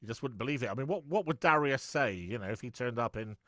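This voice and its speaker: A man's voice: mid-range voice, lively intonation, fast, bright, forceful tone, no room sound, studio quality. A middle-aged man hosting an interview, chatty and rambling with frequent stumbles and restarts. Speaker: host. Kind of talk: casual interview chat.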